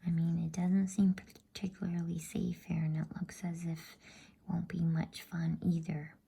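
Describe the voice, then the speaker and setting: A woman voicing a cautious, reluctant older sister in a hushed, breathy ASMR storybook whisper. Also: on the low side, little pitch movement, a little slow, exaggerated voice. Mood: doubtful.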